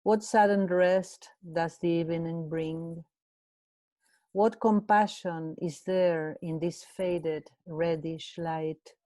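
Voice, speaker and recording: A woman with an Argentine accent, reading a translated poem aloud in a measured, deliberate way. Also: fairly low pitch, steady tone, dark tone, dry recording. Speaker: translation prize committee member.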